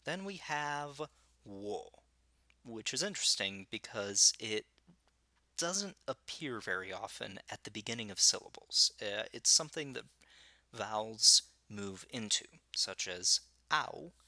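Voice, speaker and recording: A man teaching a language lesson, slowly sounding out syllables, with a slight Spanish tinge to his English and many "uh"s and "um"s. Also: lowish voice, animated, bright, forceful tone, dry recording. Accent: English with some Spanish influence. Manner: slow, careful, with frequent "uh" and "um".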